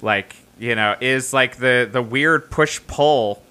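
A man's voice: medium pitch, takes it slowly, bright, forceful tone, smooth. A man co-hosting a podcast in casual chat, rambling with many fillers and playfully teasing the others. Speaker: podcast co-host.

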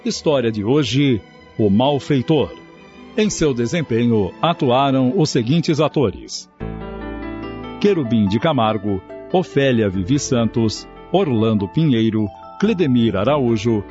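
A man's voice: mid-range voice, lively intonation, takes it slowly, darkish tone. A man with a Brazilian accent reading scripted credits as a radio announcer. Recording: dull recording with music behind.

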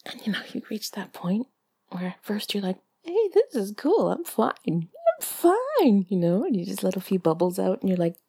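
A woman talking in an intimate, playful ASMR style close to the mic, soft and breathy, often slipping toward a whisper. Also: mid-range voice, huge pitch swings, normal speed. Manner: giggly, playful, chatty. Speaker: audio performer addressing the listener. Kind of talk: intimate ASMR, rambling and affectionate.

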